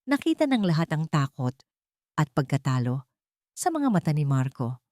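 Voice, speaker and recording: A woman's voice: low voice, very animated, normal speed, a little mellow, no room sound, studio quality. A woman storyteller reading a scripted story as dramatic narration.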